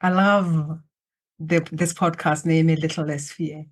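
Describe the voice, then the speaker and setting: A woman from Botswana, a native Setswana speaker, speaking as a podcast guest in a slow, calm, reflective way that addresses the listeners directly. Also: sits low. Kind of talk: guided reflective invitation. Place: remote, in Germany.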